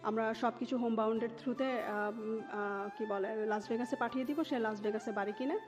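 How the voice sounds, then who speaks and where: Bangladeshi woman w a high voice telling her life story, drops in english words, clear music behind. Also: fairly even intonation, normal speed. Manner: mixes English words and phrases into talk. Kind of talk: personal storytelling in an interview. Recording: muffled audio with music underneath.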